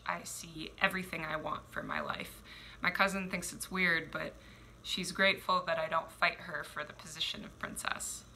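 A woman in her twenties from Oregon performing spoken word poetry at home, measured and reflective, with deliberate pauses. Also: lowish voice, creaky, pushed and bright, slight background noise, low-quality recording. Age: 20s. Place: small home room indoors. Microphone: headphones and webcam.

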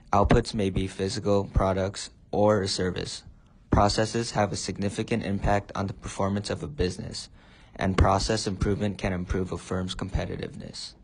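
A man, a student, reading a definition aloud at a measured pace. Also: low-pitched, a little mellow, poor audio. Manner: measured, read-aloud delivery. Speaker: student presenter.

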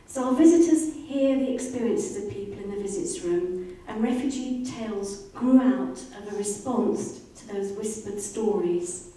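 A middle-aged woman giving a measured, earnest prepared talk as a charity speaker. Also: mid-range voice, warm tone. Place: indoor library event space with audience. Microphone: handheld microphone. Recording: very echoey room, distant, faint noise.

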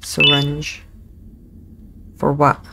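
A woman's voice: low voice, monotone, very slow, vocal fry, heavy background noise. A Scottish woman in her thirties giving chatty, wry, informal commentary as she plays a video game. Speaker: gaming video host. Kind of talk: casual let's play commentary.

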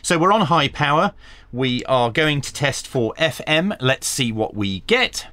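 A man presents a hands-on gadget review with a lively, varied pitch that sits at a medium height. He explains each step in a practical way and slips in dry asides. The sound is close and dry, with very little room in it. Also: measured pace, no echo. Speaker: radio review channel host. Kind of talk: hands-on product review and tutorial narration. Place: small indoor home workshop.

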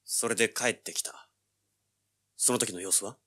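An actor playing a cocky, hard-boiled young treasure hunter, brash and wry, in a dramatized audiobook. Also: male voice, lowish voice, moderate pace, bright, forceful tone. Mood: curt, probing. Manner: brash, wry, rough-spoken. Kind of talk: dramatized audiobook acting with first-person narration.

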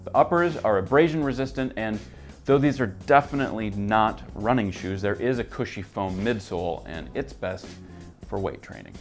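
A man in his thirties hosting a scripted gear news roundup, seated at a desk in an office and talking briskly from his notes. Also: on the low side. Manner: brisk, upbeat presenter reading from notes.

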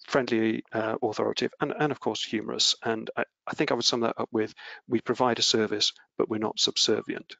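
A man's voice: on the low side, creaky, sharp tone, no echo. A man giving a webinar talk over a video call, hesitant with many fillers and restarts. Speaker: webinar speaker, research office head. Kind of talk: presenting slides in a webinar. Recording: dry, dull video call audio.

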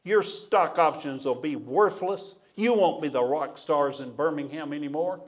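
Domineering corporate boss, played by an older man telling a story: forceful, raised pitch, pushy and insistent. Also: measured pace, close to an everyday voice. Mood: furious. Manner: forceful, scolding, pleading. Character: domineering, irate male company boss, bullying and persuasive.

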